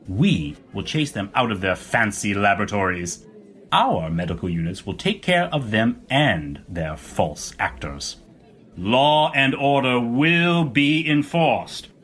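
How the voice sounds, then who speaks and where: A man's voice: medium pitch, a bit bright. Man acting a pompous president, wildly swooping delivery. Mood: menacing, triumphant. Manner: pompous, repetitive, emphatic. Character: bombastic demagogue president at a campaign rally. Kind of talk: political rally speech in audio drama.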